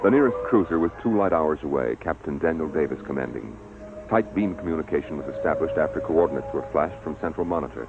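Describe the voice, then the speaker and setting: A grave narrator for a vintage radio science fiction play. His voice is very low and formal as a military report. Music sits clearly behind the muffled broadcast sound. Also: expressive, fast. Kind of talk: 1950s radio drama narration. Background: dramatic music.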